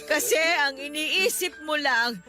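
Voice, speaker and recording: Bitter scolding guardian lady, radio drama, really high voice, tearful n accusing, music loud behind her. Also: exaggerated voice. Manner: reproachful, tearful, drawn-out emphatic delivery. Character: bitter, scolding female guardian grieving a dead man.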